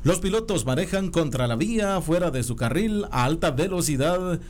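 A man reading regional news as anchor in a measured, formal way. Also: fairly high pitch, animated. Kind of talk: read news report.

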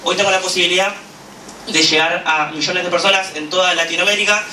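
A man's voice: sits high, steady tone, quick pace, bright, forceful tone. Argentine guy giving a fiery political speech, lots of eh's, far from the mic, roomy echo. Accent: Argentine Spanish. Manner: fervent, with frequent "eh" fillers. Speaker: activist speaker at a political gathering. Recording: echoey room, from a distance, noisy.

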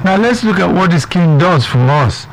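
Nigerian guy hosting a radio health show, gravelly voice, chatty, lots of um and isn't it. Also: sits high, expressive, moderate pace, slight background noise, rough recording. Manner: chatty, with frequent fillers and checks like "isn't it?".

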